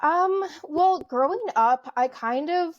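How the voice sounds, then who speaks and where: A woman's voice: high voice, lively intonation, takes it slowly, dry recording, highs cut off. A woman in her twenties from Canada, talking as a podcast guest in a friendly, chatty way with many fillers and light laughs. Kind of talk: casual interview conversation.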